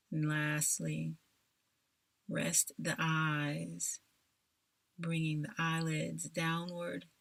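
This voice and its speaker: Female meditation guide: soothing, unhurried scripted delivery with long pauses, very even intonation, close dry sound. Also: sits low, gravelly, no echo.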